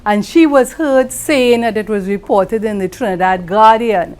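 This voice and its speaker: A woman's voice: mid-range voice, measured pace, darkish tone. Emphatic woman giving a tribute. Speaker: judge giving tribute speech. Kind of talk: ceremonial tribute speech.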